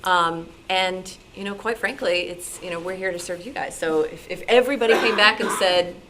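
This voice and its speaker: Female panelist, lowish voice, conversational and hedging, explanatory, slightly noisy meeting recording. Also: normal speed. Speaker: radio programmer on a club panel. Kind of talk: explanatory talk to an audience.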